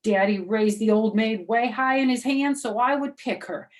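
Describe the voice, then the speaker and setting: A small, dramatic schoolgirl from a children's storybook, put on by a woman reader. She speaks high and emphatically, with childish grammar and a whiny, defensive edge. She is cheeky and very excitable. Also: normal speed, natural voice. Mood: indignant, confiding.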